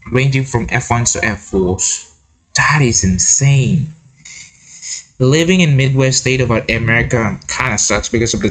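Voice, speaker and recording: A man giving casual, excitable reaction commentary, repeating words and breaking into his own read-aloud with asides. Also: fairly low pitch, rough recording. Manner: excitable, repeats words, interjects while reading. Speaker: host of a reaction video.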